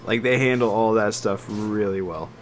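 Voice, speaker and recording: A man's voice: on the low side, normal speed, a little hiss or hum. A man co-hosting a podcast, talking in casual, conversational chat. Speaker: podcast co-host.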